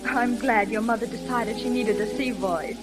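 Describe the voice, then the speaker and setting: A woman's voice: a little high, steady tone, moderate pace, close to an everyday voice. A young actress playing a coy, teasing young woman in a 1930s radio drama, bantering playfully. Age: young adult. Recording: crackly 1930s radio recording, music behind.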